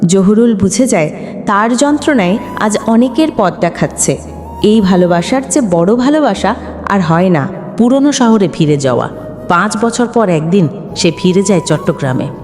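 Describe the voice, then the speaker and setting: A woman's voice: mid-range voice, lively intonation, normal speed, darkish tone. Female storyteller for emotional scripted narration; very reverberant sound over a music bed. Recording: long reverb, music underneath. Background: background music.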